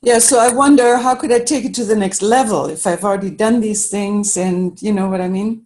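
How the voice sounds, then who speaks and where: Woman from Germany, small-business caller, thoughtful and hesitant, pauses to find words. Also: mid-range voice, darkish tone. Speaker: interior designer, caller. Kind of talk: casual question on a group call.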